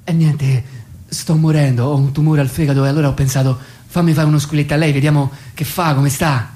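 An actor playing a hesitant, wistful man phoning his lost love, in a meek deadpan for comic radio. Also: a little high, a little fast, natural voice. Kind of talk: comic radio monologue phone dialogue.

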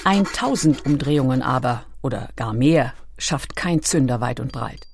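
A woman reading a scripted documentary narration with measured, dramatically phrased storytelling. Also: very deep voice, lively intonation, vocal fry, a little mellow, a little hiss or hum. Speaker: audiobook narrator.

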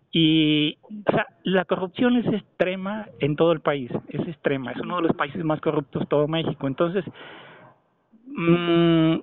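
A man's voice: on the high side, no echo. Insistent man on a tinny phone line. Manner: insistent, argumentative, long-winded. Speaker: caller. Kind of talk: phone call.

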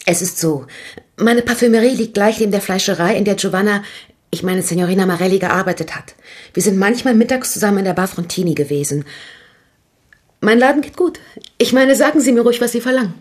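A woman's voice: medium pitch, expressive, fast talker, a little mellow, natural voice. An actress playing a nervous, talkative woman shop owner in a radio crime drama, speaking hesitantly. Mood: embarrassed, pleading. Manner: hesitant, gossipy, restarting sentences.

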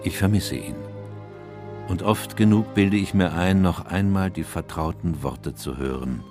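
An actor playing an older, loyal English doctor in a radio drama, narrating and speaking in a grave, reflective, melancholy way. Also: male voice, sits low, unhurried, over music.

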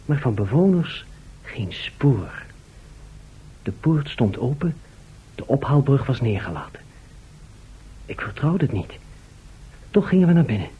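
A man playing a time traveller who narrates his adventure in a radio play, measured and suspenseful. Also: mid-range voice, big pitch swings, husky, exaggerated voice. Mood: grave, suspenseful. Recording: muffled, phone-like old radio sound.

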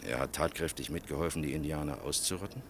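An actor playing a grave, world-weary older male official in a German radio play, speaking with measured, bitter irony. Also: a bass voice, fairly bright tone, slight background noise. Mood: grave explaining. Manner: measured, sardonic, rhetorical.

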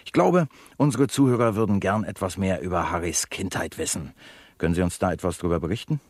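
A man's voice: deep voice, brisk, husky, no room sound. A man playing a slick, chatty radio talk show host in a satirical radio drama, with showy announcer phrasing. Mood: smooth, chatty.

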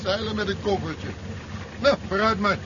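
An actor playing a gruff, nosy, sceptical truck driver in a 1970s Dutch radio play, speaking in a wry, casual, probing way. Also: male voice, high voice, moderate pace, close to an everyday voice. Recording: dull, very noisy 1970s radio drama recording.

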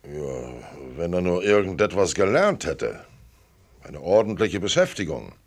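An actor playing a gruff, blunt older billionaire father from America in a German radio play. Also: sits low, sing-song, a little slow, full character voice. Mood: grumbling. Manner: blunt, grumbling, dismissive.

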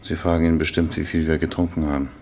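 An actor playing a plain-spoken, consoling male workmate in a German radio crime drama, with a gravelly voice. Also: a bass voice, little pitch movement, quick pace, darkish tone. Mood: calm, gentle. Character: steady, consoling male workmate of the dead husband.

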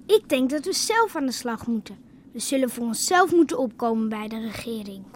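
High girlish voice, subdued, grieving village girl acted by a woman, faint ambience, naturalistic radio drama. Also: expressive, moderate pace, noisy background, lightly acted. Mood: resolute.

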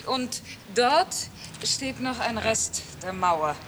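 An actress playing an eager, indignant German conservationist who guides a visitor. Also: female voice, on the high side, expressive, slow pace, fairly bright tone. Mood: insistent. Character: zealous German conservationist woman, insistent. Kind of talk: 1980s radio play acting. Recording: outdoor noise behind.

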